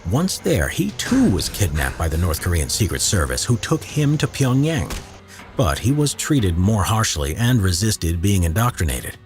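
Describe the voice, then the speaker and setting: A man narrating a history documentary in a measured, expressive, scripted storytelling style. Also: deep voice. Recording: narration with faint music bed.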